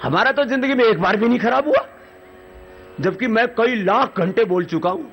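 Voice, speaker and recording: Brief: high-voiced male yoga teacher, animated and joking, dull lo-fi outdoor recording with faint music. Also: moderate pace, husky. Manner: lively, demonstrative, folksy humor. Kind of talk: live yoga instruction to soldiers. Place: outdoor army yoga camp.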